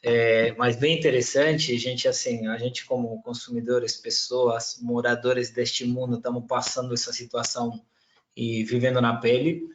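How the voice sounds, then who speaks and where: Argentine man, mid pitch, chatty panel moderator on a video call, echoey room. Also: flat delivery, unhurried, slight background noise. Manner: chatty, loose, many fillers. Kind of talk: hosting a live online panel.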